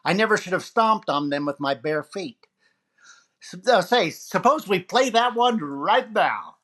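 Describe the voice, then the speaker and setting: A man's voice: sits high, expressive, unhurried, fairly bright tone, full character voice. Man playing a showy jive-talking radio disc jockey. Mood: upbeat, showy. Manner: showy, punchy announcer patter. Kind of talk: 1940s radio comedy acting.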